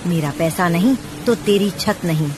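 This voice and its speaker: Woman as a low, merciless landlord villain. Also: moderate pace, natural voice. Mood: menacing, cold. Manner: harsh, demanding. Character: merciless male landlord bird, cruel villain. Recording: clear rain noise behind voice.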